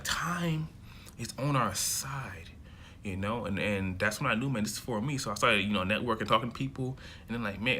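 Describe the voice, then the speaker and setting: A man's voice: on the low side, pushed and bright, a little hiss or hum. A young man from America in his early twenties, talking to camera in a loose, chatty monologue full of fillers and restarts. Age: young adult. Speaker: self-development vlogger. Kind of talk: casual rambling monologue to camera.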